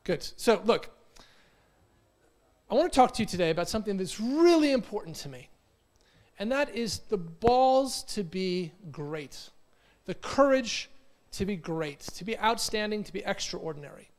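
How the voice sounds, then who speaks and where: An American man in his forties giving an energetic motivational conference talk, telling stories and often repeating words. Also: high-pitched, moderate pace. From United States. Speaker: conference speaker. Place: conference hall.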